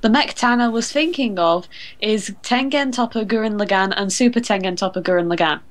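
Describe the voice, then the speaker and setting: A woman's voice: mid-range voice, brisk. A woman with a mid-range voice co-hosts a podcast. She chats informally and explains details with the eagerness of a fan. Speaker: podcast co-host. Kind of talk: casual chat.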